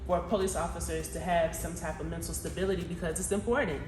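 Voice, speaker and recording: Woman host, interviewer, conversational and affirming; lower voice, roomy sound. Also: steady tone, fast, a little mellow, soft background music. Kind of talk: interview questions.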